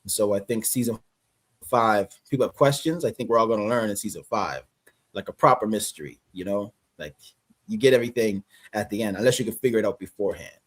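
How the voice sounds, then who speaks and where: A man with a Canadian accent, a guest actor chatting casually on a livestream, relaxed and rambling, laughing often. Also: on the low side, quick pace, slightly rough.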